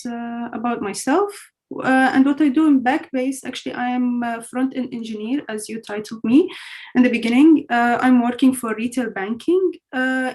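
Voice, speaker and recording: A woman's voice: fairly high pitch, a little mellow. Young Syrian-born woman, chatty English. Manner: chatty, non-native phrasing, many fillers. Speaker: guest, front-end engineer. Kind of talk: casual interview answers.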